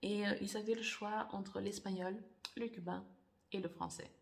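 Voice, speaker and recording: A woman whose first language is Portuguese, talking casually and thoughtfully to camera, with many pauses, fillers and restarts. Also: mid-range voice, fairly even intonation, vocal fry. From Angola. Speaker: author. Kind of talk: casual personal vlog.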